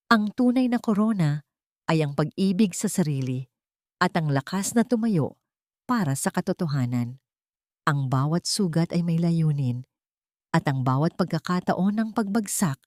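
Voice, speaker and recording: A woman storyteller reading a scripted dramatic narration in a solemn, sentimental, measured way. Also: lowish voice, darkish tone, no echo, clean studio sound.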